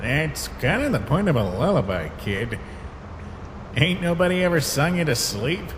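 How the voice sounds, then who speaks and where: A man's voice: a little high, sing-song, normal speed, background noise. A man voicing a laid-back, guarded skeleton big brother in a fan comic dub, with a casual drawl. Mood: gently teasing.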